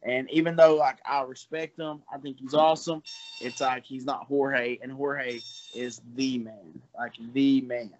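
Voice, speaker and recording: A man's voice: medium pitch, steady tone, measured pace, highs cut off. A man in his twenties, a fighter, talking casually in an interview in a loose, rambling way with some laughs. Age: 20s.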